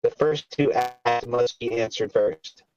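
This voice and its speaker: A man's voice: medium pitch, slow, a little mellow, smooth, no room sound, close to an everyday voice. Man reading a pleading herald, muffled call audio. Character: pleading, diplomatic herald addressing lords. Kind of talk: screenplay table read. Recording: dull, glitchy online voice call, faint music.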